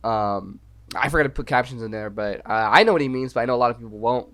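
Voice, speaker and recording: Young guy on a podcast, rambly chill chat, mid pitch, keeps saying you know what i mean. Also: quick pace, a bit bright. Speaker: podcast co-host. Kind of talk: casual chat.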